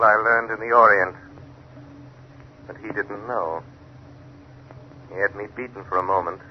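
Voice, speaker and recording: An actor playing a mysterious invisible avenger, calm, deliberate and taunting, in a 1930s radio mystery. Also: male voice, fairly low pitch, a little hiss or hum, lightly acted. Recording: dull 1930s radio recording.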